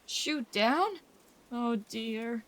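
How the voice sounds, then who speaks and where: Slow-talking, hapless young boy. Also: fairly high pitch, animated, a bit bright, exaggerated voice. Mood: hesitant, dry. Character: Toodles, hapless, eager, timid young boy. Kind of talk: audio drama acting.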